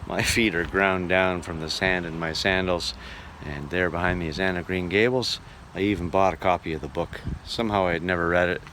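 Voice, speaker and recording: A man's voice: sits low, steady tone, fairly quick, sharp tone. A man giving an earnest, reflective travel vlog narration, savoring his words, recorded outdoors by the sea. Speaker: travel vlogger and touring performer. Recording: noisy outdoor recording with surf.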